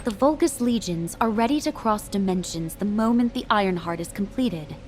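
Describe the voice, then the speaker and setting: An actress playing a serious intelligence briefer who gravely explains threats in a video game. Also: female voice, mid-range voice, animated, normal speed, a little hiss or hum, lightly acted.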